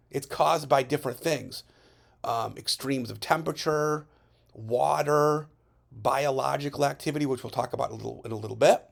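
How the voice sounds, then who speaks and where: A male science teacher narrating a slide lesson in a chatty way, checking in with students and saying his voice is still recovering from hoarseness. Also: medium pitch. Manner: chatty, checks in with frequent "okay?". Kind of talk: recorded classroom lesson over slides.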